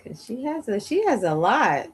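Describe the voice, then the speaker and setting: A woman's voice: on the high side, sing-song, normal speed, husky. A woman co-hosting a show in casual chat, often with short, informal interjections. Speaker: book show co-host.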